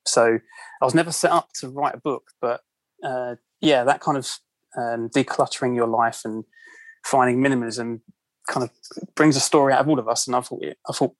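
A man's voice: mid-range voice, steady tone, moderate pace, dry recording, rough recording. A man with a London accent, a podcast guest chatting casually with many ums and self-deprecating asides. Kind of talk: casual interview chat.

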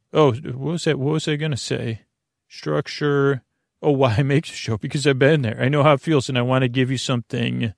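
A man with a heavily creaky, fry-laden voice delivers a meandering bedtime monologue as a podcast host. He speaks haltingly, drifting into digressions and circling back. The sound is very dry, with no room around the voice. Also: medium pitch, darkish tone, no echo.

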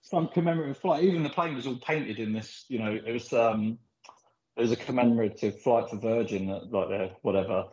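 A man's voice: medium pitch, brisk. Man telling rambling stories, echoey. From Philippines. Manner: rambling, animated storytelling with frequent fillers. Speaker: pool player guest. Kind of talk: casual podcast interview. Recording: echoey, dull lo-fi recording.

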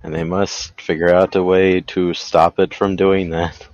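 A man co-hosting a podcast in casual banter, dropping terse, deadpan asides as he recaps. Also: deep voice, measured pace, dry recording, lo-fi sound. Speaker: podcast co-host.

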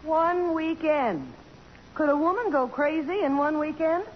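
An actress playing a flustered, wisecracking, exasperated but loyal secretary in 1950s radio theater comic style. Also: female voice, sits high, expressive, measured pace. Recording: muffled, hissy, reverberant old radio broadcast.

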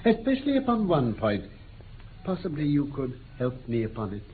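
Impish, urbane British detective, old muffled radio. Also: male voice, on the high side, huge pitch swings, moderate pace. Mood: sly, polite. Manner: courteous, theatrical, mock-deferential. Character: impish, urbane male detective, theatrical and self-assured. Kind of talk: 1950s radio drama acting. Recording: muffled, noisy 1950s radio recording.

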